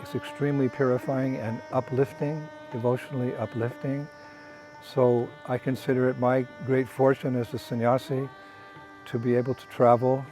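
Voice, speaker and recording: An older man, a traveling monk, speaking in a soft, halting way with many pauses and restarts, outdoors on a walking pilgrimage. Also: medium pitch. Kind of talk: casual spoken reflection.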